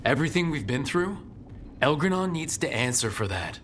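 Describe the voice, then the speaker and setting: Steady, resolute fantasy hero, noisy ambience. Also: male voice, on the high side, moderate pace. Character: steady, resolute adult male fantasy adventurer leader. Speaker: video game protagonist. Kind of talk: acted game dialogue. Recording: game audio with clear background ambience.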